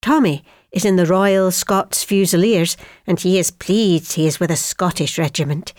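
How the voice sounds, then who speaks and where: A woman's voice: fairly low pitch, fairly even intonation, normal speed, a little mellow, no echo, studio quality, close to an everyday voice. A middle-aged woman playing an affectionate wartime mother, chattily reading out a letter to her son. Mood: affectionate, chatty. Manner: homely, chatty.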